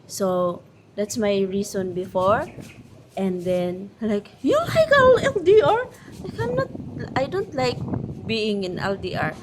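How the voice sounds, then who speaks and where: A woman with a Filipino accent chatting casually to camera as a vlogger, pausing often to search for English words. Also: medium pitch, sing-song, background noise. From Philippines.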